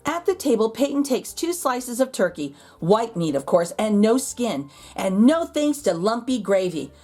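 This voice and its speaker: A woman reading a children's picture book aloud in expressive narration, with deliberate dramatic emphasis. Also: fairly high pitch. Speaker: children's storybook reader. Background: music.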